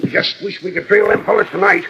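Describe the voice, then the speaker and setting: An actor playing a hot-tempered western sheriff who barks in a folksy drawl, in 1940s radio style. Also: male voice, high-pitched, steady tone, a little fast, gravelly, muffled recording, soft background music. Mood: furious, scolding. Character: blustering, hot-tempered old-west sheriff and lynch mob men. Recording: old radio transcription disc, background commotion.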